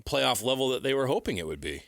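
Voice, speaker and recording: A man's voice: medium pitch, very animated, fast, creaky, dry recording. A man debating sports casually as a radio co-host, with a blunt, opinionated and sarcastic manner. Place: radio studio.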